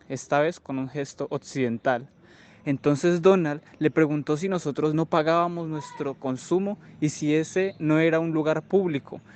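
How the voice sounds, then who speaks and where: An actor playing an aggrieved army sergeant, recounting events in deliberate detail in a radio drama. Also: male voice, a little high, darkish tone, lightly acted. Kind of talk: radio drama narrative monologue.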